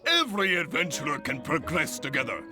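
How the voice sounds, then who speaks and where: A man's voice: on the high side, big pitch swings, fairly quick, music in the background. A man playing an exuberant, theatrical adventurers' guild leader in a video game, effusive and grand in his enthusiasm. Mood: proud, enthusiastic. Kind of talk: video game character acting.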